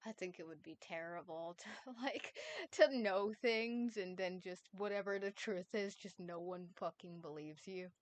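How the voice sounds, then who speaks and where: A woman's voice: lowish voice, measured pace. A woman co-reading a fanfic on a live stream, chatting casually with swearing and restarts, on a close desk mic.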